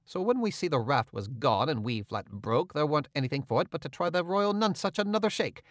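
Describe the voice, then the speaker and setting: A man's voice: a little high, lively intonation, fairly quick, dry recording, clean studio sound, natural voice. A man voicing a scheming middle-aged male swindler who grumbles, threatens and gives orders. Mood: grumbling.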